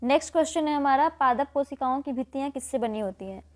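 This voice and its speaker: A woman teacher with a high voice and an Indian accent takes learners through exam questions. She explains each answer step by step, repeating and rewording the key points as she goes. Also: fast.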